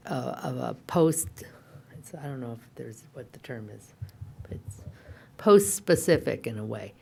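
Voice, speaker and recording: Deep-voiced woman searching for her words. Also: gravelly. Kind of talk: spontaneous discussion comment.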